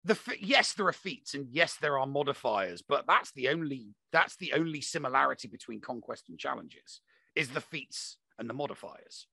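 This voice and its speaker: Male gaming podcast talker: forceful, gravelly, emphatic, repeats for stress, dry sound. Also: medium pitch, animated, normal speed, no echo, rough recording. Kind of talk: opinionated casual discussion of a mobile game.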